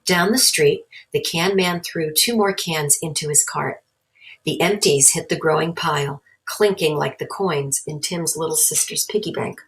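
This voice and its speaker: A woman's voice: low-pitched, measured pace, rough recording. A woman narrating a children's story aloud as a storybook reader.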